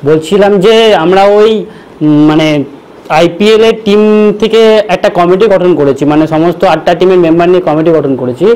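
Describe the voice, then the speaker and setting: A man with a Bengali accent and a strikingly high voice. He talks quickly and presses his point insistently, improvising as a prank caller. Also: darkish tone, rough recording. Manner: pushy, insistent. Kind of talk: improvised prank phone call.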